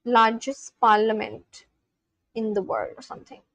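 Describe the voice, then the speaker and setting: A young woman with a Delhi Indian English accent, chatting casually with her livestream viewers, reading their comments aloud with quick apologetic asides. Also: a little high, fairly even intonation, dry recording, rough recording. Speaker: live streamer.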